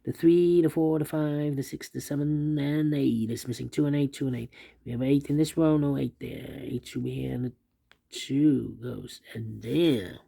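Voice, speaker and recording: A man with a dark, gravelly voice teaches a puzzle solve at his desk. He thinks aloud in a steady, methodical way, repeating pairs of numbers under his breath as he goes. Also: mid-range voice, normal speed. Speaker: sudoku instructor.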